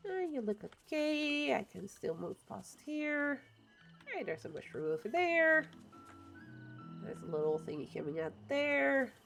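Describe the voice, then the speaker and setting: A woman's voice: sits high, huge pitch swings, unhurried, creaky, a bit bright, soft background music. Chatty woman streaming a game. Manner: chatty and opinionated, muttering to herself as she builds. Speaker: livestreamer. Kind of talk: casual chat while playing a building game.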